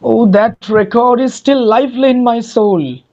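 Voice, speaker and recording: A performer playing a tender, wondering young gentleman, a long-lost twin brother, in a dramatic Shakespeare reading. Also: mid-range voice, a little slow, close to an everyday voice. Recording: dull lo-fi audio.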